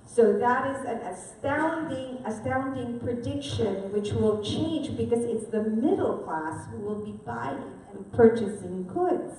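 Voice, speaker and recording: A woman's voice: fairly high pitch, animated, unhurried, few pauses, mellow, a little hiss or hum. A woman from the Philippines giving a live seminar presentation, talking conversationally and often checking in with the audience. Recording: echoey hall from far off.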